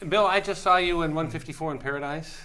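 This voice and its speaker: A man hosting a talk show, interviewing casually in a halting way, repeating words as he goes. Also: on the high side, sharp tone, clean tone.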